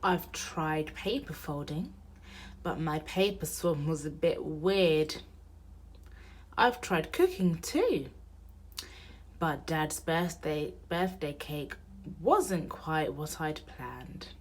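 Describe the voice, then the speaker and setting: A woman reading a children's picture book aloud in an expressive storytelling manner meant for young listeners. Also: deep voice, takes it slowly, a little hiss or hum. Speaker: storybook reader.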